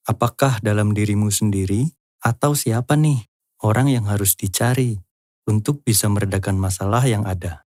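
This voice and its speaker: Low-voiced man narrating, dry sound. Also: normal speed, darkish tone, dry recording. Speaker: host of a lyric-analysis channel. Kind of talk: scripted explainer narration with casual asides.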